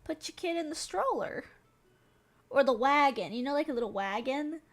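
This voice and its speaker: A woman's voice: high voice, animated, normal speed. A young woman from the American South with a Southern accent, chatting playfully and giggling with her viewers while she plays a game. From Southern United States. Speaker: streamer. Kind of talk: casual chat while gaming.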